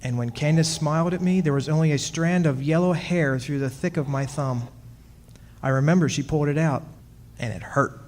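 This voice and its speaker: An actor playing a wry, centuries-old henpecked husband of a witch in a radio play, telling his story in measured dramatic style. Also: a little high, darkish tone, close to an everyday voice. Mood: wry, reminiscing. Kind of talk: dramatic first-person radio play narration. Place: stage performance in a community club hall. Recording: echoey hall, music behind.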